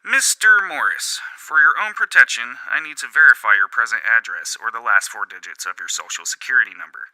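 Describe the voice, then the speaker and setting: Man acting a sharp, forceful, smug debt collector. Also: mid-range voice, expressive, a little fast, few pauses, dry recording, voice pushed up, poor audio. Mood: insistent, officious. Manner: formal scripted phone patter, savoring each syllable. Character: smug male debt collector for the devil, officious. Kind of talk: dramatic audiobook character reading.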